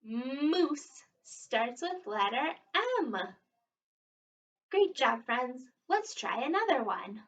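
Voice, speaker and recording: A woman's voice: sits high, big pitch swings, takes it slowly. A woman speaks to young children in an encouraging, exaggerated teacherly way. She takes her time, leaving room for answers. The recording is a little muffled, with her voice at some distance from the microphone. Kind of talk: read-aloud and interactive lesson for young children. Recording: dull, distant microphone sound.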